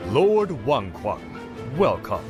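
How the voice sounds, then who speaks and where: A man's voice: mid-range voice, wildly swooping pitch, slow pace, music in the background. A man playing a gracious, pompous noble warlord in a video game, speaking ceremoniously. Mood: proud welcome. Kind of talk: video game dub acting.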